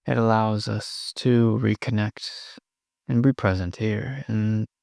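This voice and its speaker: Slow, low-voiced man guiding meditation. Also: level tone, vocal fry, a little mellow, no room sound, clean studio sound. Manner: unhurried, with long pauses between short phrases. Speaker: meditation guide. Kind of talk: guided meditation.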